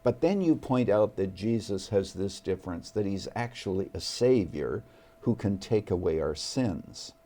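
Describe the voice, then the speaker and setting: A man who is a pastor and author, a guest on an interview show, speaking in a measured, earnest and gentle way. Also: low voice, lively intonation. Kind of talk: studio interview.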